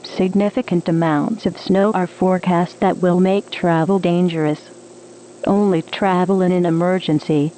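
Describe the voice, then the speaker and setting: A woman's voice: fairly low pitch, fairly even intonation, moderate pace, dark tone, a little hiss or hum, poor audio. A woman reading a scripted emergency weather bulletin in a formal, automated-sounding delivery, as a weather radio announcer.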